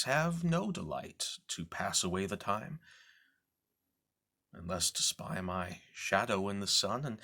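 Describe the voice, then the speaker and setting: Guy doing a bitter scheming shakespeare villain, theatrical and deliberate, sharp intense tone. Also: fairly low pitch, exaggerated voice. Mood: scheming, resentful. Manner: dramatic, deliberate, swinging from declaiming to hushed whisper. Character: bitter, scheming deformed villain Duke Richard of Gloucester.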